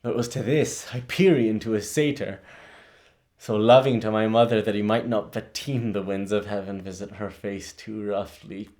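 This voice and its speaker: An actor playing a grieving, bitter young prince in a theatrical monologue, brooding and halting, rising into outbursts. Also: male voice, fairly low pitch, steady tone, darkish tone, natural voice. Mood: bitter anguish.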